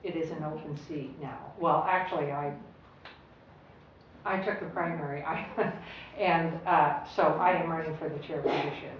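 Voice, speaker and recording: A woman from Washington State introducing herself as a candidate on a panel, halting at times with small laughs. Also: low-pitched, fairly even intonation, a little hiss or hum. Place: public meeting room. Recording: echoey room from far off, dull.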